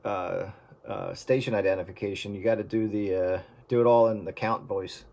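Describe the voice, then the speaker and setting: A man's voice: on the low side, steady tone, moderate pace, creaky, dark tone, slight background noise. A man chatting casually and musingly with friends over CB radio. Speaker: participant in CB radio chat.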